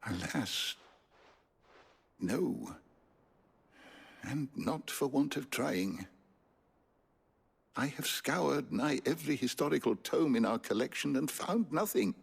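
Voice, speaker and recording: Husky, florid old scholar. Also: male voice, medium pitch, animated, takes it slowly, pauses often, heavily acted. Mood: grave, thoughtful. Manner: florid, erudite, theatrical phrasing. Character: bookish elderly male scholar, emotional, kindly and verbose. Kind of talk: video game voice acting.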